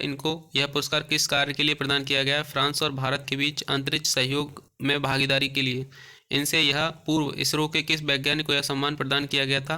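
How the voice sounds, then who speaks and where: A man's voice: on the high side, bright, forceful tone, smooth. A man teaching an exam class, reading out quiz questions followed by short answers and repeating key terms.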